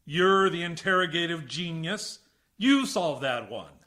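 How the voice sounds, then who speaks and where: A man's voice: a little high, animated, measured pace, pushed and bright. A man acting in a radio play as a stiff, prickly military base commander, stern, clipped and formal in speech. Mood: guarded. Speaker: actor.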